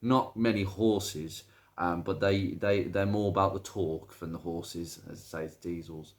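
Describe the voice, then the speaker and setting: A British man with a slightly croaky voice from hay fever, presenting an informal car video in a chatty, hesitant way with rambling asides. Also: sits low, fairly even intonation, normal speed. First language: English. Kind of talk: informal car video commentary, reading out a viewer's message.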